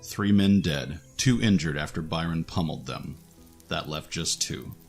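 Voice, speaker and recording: Deep-voiced man narrating fantasy action. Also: measured pace, creaky, breathy edge, soft background music.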